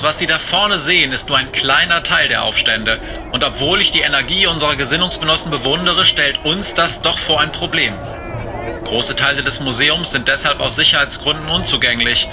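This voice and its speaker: An actor playing a composed, official Soviet tour leader, addressing a group formally and measuredly in a radio play. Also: male voice, fairly high pitch, noisy background, natural voice.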